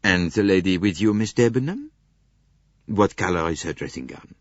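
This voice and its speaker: A man voicing a fastidious, courteous older male detective who questions politely and orderly. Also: low-pitched, expressive, no echo. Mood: probing. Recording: dull dry studio recording.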